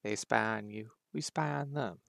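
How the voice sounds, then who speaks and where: Sly outlaw dragon go-between, male actor, low and thick with gravel, persuasive, audio drama style. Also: moderate pace, a bit bright. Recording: background music under the voice.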